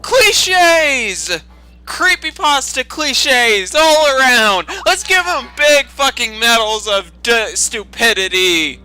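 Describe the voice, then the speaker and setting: A man's voice: very high-pitched, animated, takes it slowly, sharp tone, clean tone. A man doing a dramatic storytime reading, breaking into sarcastic, profane and exclamatory mocking asides. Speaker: storytime narrator. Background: video game music.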